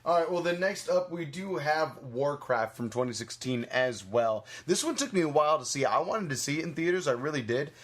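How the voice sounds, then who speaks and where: A man's voice: a little high, lively intonation, brisk, hardly any pauses. A man hosting a podcast, chatting casually about entertainment in a rambling, hedging way. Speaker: podcast host. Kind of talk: casual movie review chat.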